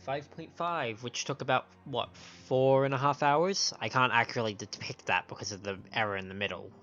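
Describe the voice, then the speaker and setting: A man's voice: mid-range voice, a little fast, fairly bright tone. A man chatting casually as a livestream host, sniffly, with hesitant, rambling asides. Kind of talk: casual stream chat. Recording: dull lo-fi stream audio, faint music.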